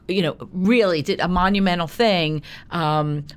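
A woman with a slightly low voice talks as a guest in an informal interview. She is an animated storyteller who likes to act out what people said. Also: a little slow, smooth. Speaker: interview guest, film producer.

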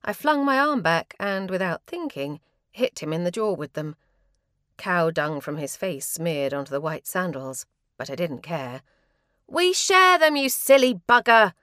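A woman reading a first-person short story in expressive, scripted narration. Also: sits low, measured pace, no room sound, studio quality.

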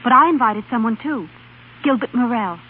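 An actress playing a devoted, determined young wife in a 1930s radio drama. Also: female voice, fairly high pitch, expressive, moderate pace, a little hiss or hum, lightly acted. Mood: affectionate. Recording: tinny, band-limited 1930s radio recording.

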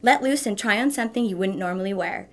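A woman presenting a scripted segment of numbered tips, at a medium pitch. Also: quick pace.

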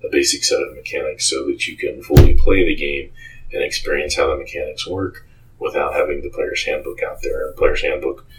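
Very deep-voiced man, distant from the mic. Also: little pitch movement, brisk, noisy background. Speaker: game creator, interview guest. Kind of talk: interview talk.

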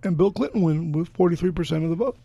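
An older man with a hoarse voice, co-hosting a casual political chat in a gregarious, bombastic, argumentative way. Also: a little high, lively intonation, fast, dark tone, dry recording. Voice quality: hoarse, gravelly voice. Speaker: podcast co-host.

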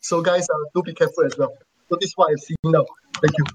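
A man speaking unscripted as an online panelist, explaining things in halting, hesitant phrases. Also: fairly high pitch, steady tone. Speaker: panelist on e-commerce. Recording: clearly noisy signal with clicks.

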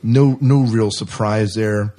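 A man's voice: on the low side, steady tone, takes it slowly, mellow. A man co-hosting a podcast, chatting casually about news and repeating himself for emphasis. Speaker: podcast co-host.

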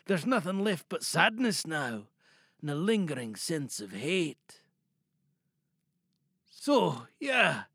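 A man's voice: sits high, sharp tone, dry recording, studio quality, exaggerated voice. A man playing a shy, hurt student reading aloud to a class in an audio monologue, hesitant and apologetic, holding anger under strained politeness. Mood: awkward.

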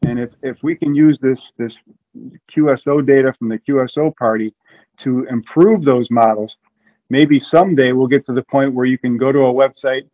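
A man's voice: mid-range voice, fairly even intonation, moderate pace, dull audio. A man from Ohio, a guest in an interview, explaining at length with frequent restarts. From Ohio, USA.

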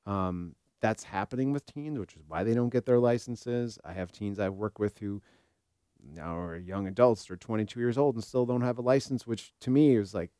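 American guy chatting on a podcast, lowish clean smooth voice, dry close sound, opinionated. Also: dark tone, no room sound. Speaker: podcast co-host and therapist. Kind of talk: casual podcast discussion.

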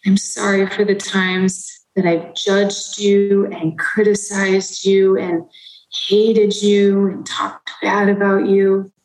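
Woman, calm meditation guide, unhurried, gentle, steady pitch, roomy echo. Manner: slow, soft and soothing.

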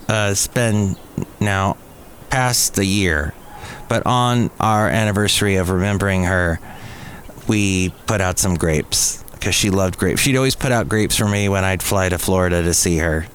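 A man's voice: lowish voice, unhurried. Rambling American podcast man, noisy recording. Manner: rambling, jokey, self-mocking asides. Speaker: podcast host. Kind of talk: casual solo podcast monologue. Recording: clearly audible background noise.

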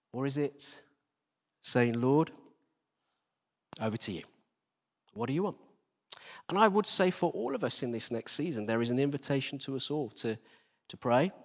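A British man giving an informal church sermon in an earnest, conversational manner, addressing his listeners directly as a preacher. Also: medium pitch. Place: large church hall, live service. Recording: reverberant hall.

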